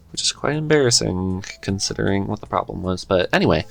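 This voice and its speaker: Deep-voiced relaxed podcast host. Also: male voice, unhurried, gravelly, faint music behind. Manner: relaxed, curious questioning. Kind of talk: casual interview chat.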